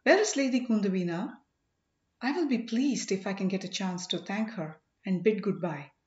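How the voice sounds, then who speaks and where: A woman's voice: mid-range voice, lively intonation, moderate pace, a little mellow, close to an everyday voice. A woman voicing an ardent, grateful young king in exile with earnest, formal speech, as in a storybook. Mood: hopeful. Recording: roomy, slightly dull home recording.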